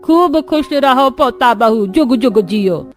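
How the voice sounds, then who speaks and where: A woman's voice: a little high, expressive, slow. A woman voicing a bossy old grandmother-in-law, emphatic and commanding, in a cartoon story. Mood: blessing.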